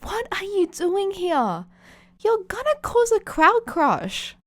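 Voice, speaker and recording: A woman voicing a feisty, easily flustered young woman, animated and affectionately scolding, in an audio fan fiction. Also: very high voice, slow, no room sound, clean studio sound, voice pushed up, full character voice. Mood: alarmed hissing.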